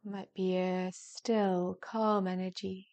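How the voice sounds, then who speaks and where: A woman speaks very slowly in a dark, mellow tone as she guides a deep relaxation. Her delivery is gentle and soothing, with soft, breathy phrase endings. She leaves long pauses between short phrases. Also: little pitch movement. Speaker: yoga nidra meditation guide.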